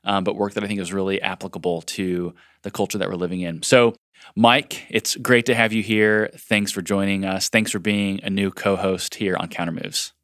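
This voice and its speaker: A man with a somewhat low voice speaks as the host of a podcast. His delivery is conversational, in the manner of an interview. The sound is dry, with very little room around the voice. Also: steady tone, normal speed, fairly bright tone, no room sound.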